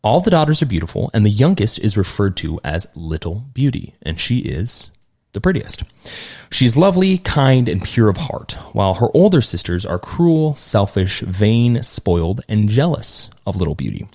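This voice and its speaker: Man, podcast host, lively pitch, casual storytelling, joking asides. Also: normal speed. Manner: animated, retelling a fairy tale with asides.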